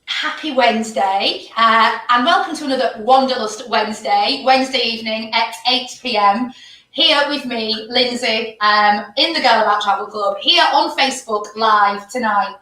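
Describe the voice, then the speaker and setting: A woman's voice: on the high side, moderate pace, pushed and bright, reverberant, recorded from a distance, poor audio. A woman hosting a live online travel chat, upbeat and enthusiastic, with frequent fillers. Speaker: host.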